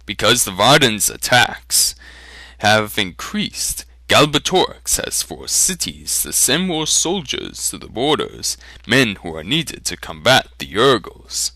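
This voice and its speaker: A man voicing a grim traveling merchant in a storybook, gravely delivering ominous news. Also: on the low side, sing-song, slow pace, sharp tone, no echo, heavily acted. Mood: grim, foreboding.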